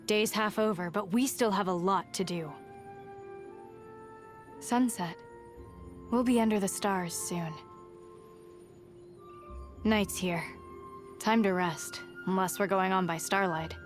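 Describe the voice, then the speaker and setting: Casting: actress as resolute young huntress for a video game, plain-spoken and self-contained, mid-range voice. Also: female voice, medium pitch, slow, pauses often, soft background music, natural voice. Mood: matter-of-fact. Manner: plain-spoken, understated, determined. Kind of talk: video game character voice lines.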